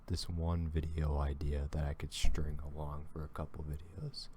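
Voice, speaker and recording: A man with a deep voice giving casual reaction commentary on a video, rambling and restarting his thoughts. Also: little pitch movement, moderate pace, warm tone, a little hiss or hum.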